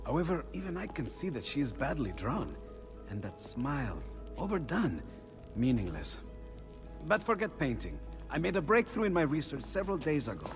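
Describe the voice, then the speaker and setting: Eccentric middle-aged scholar, courteous, expressive lively pitch, game cutscene, music behind. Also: male voice, normal speed. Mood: self-critical, excited. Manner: courteous, expressive, self-deprecating. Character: eccentric aging Renaissance inventor and painter, excitable scholar. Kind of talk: dramatic video game cutscene acting.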